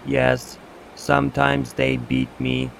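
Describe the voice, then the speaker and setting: An actor playing a mistreated, whimpering office computer with a sly streak, in a slow, stilted, melodramatic delivery. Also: male voice, medium pitch, little pitch movement, vocal fry, fairly bright tone, a little hiss or hum, exaggerated voice. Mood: pitiful.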